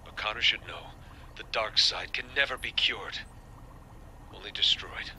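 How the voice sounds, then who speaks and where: An actor playing a cold, smug, fanatical scientist villain in a video game, speaking in a deliberate, taunting, ominous way. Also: male voice, lowish voice, sharp tone, slight background noise. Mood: smug menace.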